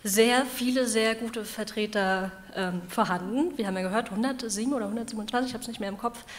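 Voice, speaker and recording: A woman's voice: a little high, fast, a little hiss or hum. A woman on a theater stage announcing a category winner, earnest and a little hesitant. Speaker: guest presenter at awards.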